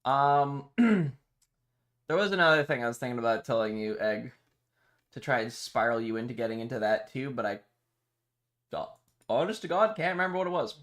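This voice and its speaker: A man's voice: medium pitch, normal speed, pauses often, a bit bright. A man chatting casually on a livestream to his viewers, rambling and playfully dramatic. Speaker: live streamer.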